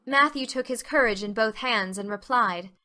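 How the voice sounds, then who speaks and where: A woman's voice: a little high, moderate pace, sharp tone. A woman narrating an audiobook story as a scripted dramatic reading.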